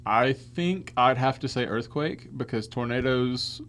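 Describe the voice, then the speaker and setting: A man's voice: medium pitch, measured pace, fairly bright tone. A man, co-hosting a podcast in an easygoing, laughing conversational way. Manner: easygoing, laughs often, storytelling. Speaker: podcast co-host. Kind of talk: casual chat.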